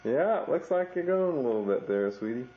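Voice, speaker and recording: A man's voice: medium pitch, sing-song, a little fast, a little hiss or hum. A young man narrating a home video as he films his pregnant wife, chatty and easygoing. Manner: chatty, narrates while filming. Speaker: father filming home video. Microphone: camcorder mic. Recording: dull lo-fi VHS tape.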